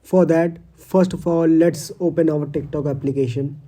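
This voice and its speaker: A man's voice: a little high, steady tone, normal speed, mellow. A man narrating a scripted step-by-step tutorial as its host.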